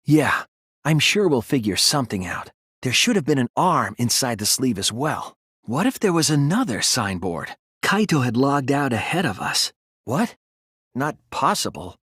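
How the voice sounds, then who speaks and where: A man voicing an earnest, thoughtful teenage boy detective in an English video game dub, reasoning deliberately through his lines. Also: medium pitch, big pitch swings, fairly bright tone, slightly rough, no room sound, clean studio sound. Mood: quiet, subdued.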